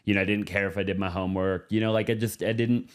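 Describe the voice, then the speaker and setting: Thirty-year-old male guest from Arizona, lowish voice, relaxed and reflective, easy agreeable talk. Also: fast talker, clear, resonant tone. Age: 30s. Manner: laid-back, agreeable, reflective. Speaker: podcast guest, entrepreneur. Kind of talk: casual interview chat.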